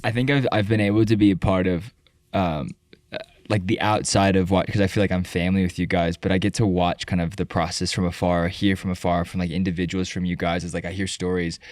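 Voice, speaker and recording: A man's voice: low voice, steady tone, fairly quick, dry recording, studio quality. A man hosting a casual interview, chatty, laughing often and restarting his sentences. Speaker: interview host. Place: indoor room at a retreat.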